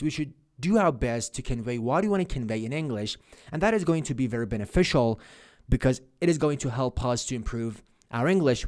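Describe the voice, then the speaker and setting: A man's voice: medium pitch, a little mellow. Earnest man explaining. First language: Persian. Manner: earnest, repeats and restarts phrases while explaining. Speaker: language-learning vlogger. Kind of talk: casual tutorial monologue.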